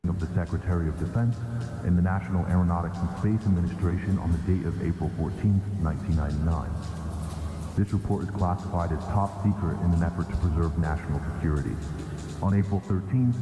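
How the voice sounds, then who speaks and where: Solemn military officer, played by a man: low voice, formal measured briefing, long echo, music underneath, analog horror. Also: lightly acted. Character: solemn male military officer reading classified report. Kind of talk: formal scripted military report narration. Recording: echoing long reverb over music.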